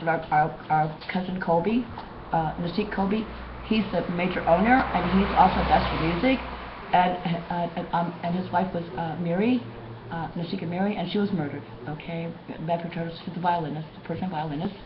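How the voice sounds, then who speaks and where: Hoarse woman, lowish voice, rambling webcam monologue, muffled audio, steady hiss behind. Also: brisk. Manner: rambling, repetitive, many restarts. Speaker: vlogger. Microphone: webcam mic.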